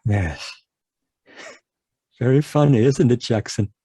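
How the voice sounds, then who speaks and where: An actor playing an anguished, haunted man in an old-time radio horror monologue, halting and repetitive in a melodramatic way. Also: medium pitch, expressive, dark tone, slightly rough, no echo. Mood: haunted, brooding. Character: anguished, haunted man raving about monstrous giant worms.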